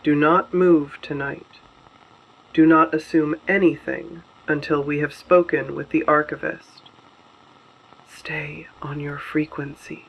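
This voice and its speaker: A performer playing a guarded, commanding resistance radio host in a dystopian audio drama, giving terse instructions in a measured, solemn way. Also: mid-range voice, level tone, creaky, slight background noise. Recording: dull, band-limited broadcast sound.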